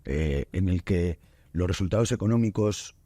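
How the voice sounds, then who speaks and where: A man from Spain hosts a podcast conversation, his voice low and soft-edged. He speaks in a relaxed, halting way, full of fillers and restarts. The studio sound is dry and close. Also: no room sound. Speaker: podcast host. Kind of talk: casual interview chat.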